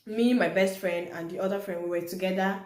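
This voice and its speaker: A woman's voice: medium pitch, steady tone, fairly quick, darkish tone. A young Nigerian woman, a native Yoruba speaker, vlogging in a casual, chatty storytelling way to her viewers. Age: young adult. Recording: echoey room.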